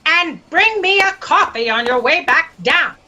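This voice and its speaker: A woman's voice: very high-pitched, expressive, measured pace, pushed and bright, dull audio, full character voice. A woman playing a demanding, exasperated boss who scolds her staff in a sci-fi satire audio drama. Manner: bossy, scolding.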